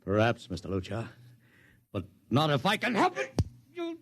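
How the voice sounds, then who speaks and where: An actor playing a desperate, hot-tempered publicity man in a 1950s radio drama, tough-talking and excitable. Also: medium pitch, normal speed, heavily acted. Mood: frantic.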